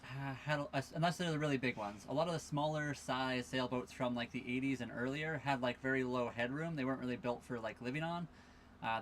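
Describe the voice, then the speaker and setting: A young man hosts a casual livestream from the cabin of his sailboat, tied up at a marina. His mid-pitched voice sounds tired and laid-back as he reads out viewer questions and thanks followers. A faint hiss of room ambience sits under the recording. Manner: relaxed, chatty, thanks viewers for follows and gifts. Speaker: livestream host.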